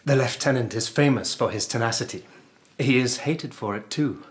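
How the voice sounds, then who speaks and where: A man's voice: medium pitch, normal speed, natural voice. An actor playing a young nobleman who informs on a plot in a radio drama, formal and grave, telling his story earnestly. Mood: troubled, intent. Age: young adult.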